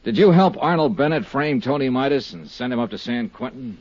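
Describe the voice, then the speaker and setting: An actor playing a tough, persistent private investigator in a 1950s radio drama, with a clipped, pressing manner. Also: male voice, medium pitch, lively intonation, a little fast, a little mellow, no echo, lightly acted. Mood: hard, pressing. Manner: clipped, hard-boiled, pressing. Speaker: lead actor and narrator. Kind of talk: 1950s radio detective acting. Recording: muffled 1950s radio recording, music.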